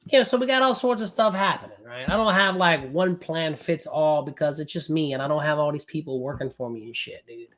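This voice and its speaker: A man running a live trading class, recovering from a cold, talking in a loud, brash and profane way with lots of rambling asides. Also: fairly high pitch, animated. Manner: casual, fast and rambling, with frequent "right?". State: recovering from a cold, voice not fully back. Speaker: day trading coach.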